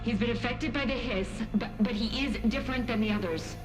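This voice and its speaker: Game scientist, female actor: alarmed, clipped, fairly high voice, echoing under loud music. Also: a little fast, lightly acted. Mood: urgent, alarmed. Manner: urgent, clipped phrases. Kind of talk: video game cutscene acting.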